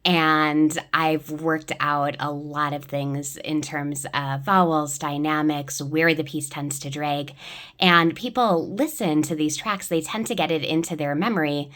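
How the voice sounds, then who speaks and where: Woman, choir director type, somewhat low register, informal step-by-step instructional delivery. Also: fairly even intonation, a little slow, fairly bright tone. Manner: explains step by step. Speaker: choir director giving a software tutorial. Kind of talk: informal spoken tutorial.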